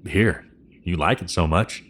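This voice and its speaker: An actor playing an easygoing, gently teasing middle-aged father in a naturalistic audio drama. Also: sits low, measured pace. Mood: fond, teasing.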